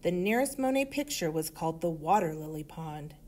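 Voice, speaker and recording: Animated woman reading a children's story. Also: deep voice, brisk. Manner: expressive. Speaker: storybook reader. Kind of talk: children's story read-aloud.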